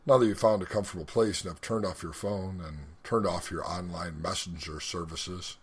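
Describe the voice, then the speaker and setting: A man leading a guided meditation as an instructor, speaking in a measured, instructional way with deliberate pauses. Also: low voice, steady tone, slightly rough.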